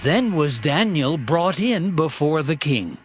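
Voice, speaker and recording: A man narrating scripture from a script in a solemn, deliberate way. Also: a little high, very animated. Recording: dull lo-fi audio with music.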